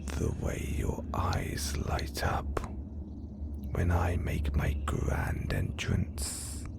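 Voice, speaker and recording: A man's voice: very animated, extremely slow, exaggerated voice. A young man playing a possessive, theatrical supervillain in a whispered audio roleplay, speaking in an intimate, teasing way. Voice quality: low gravelly whisper.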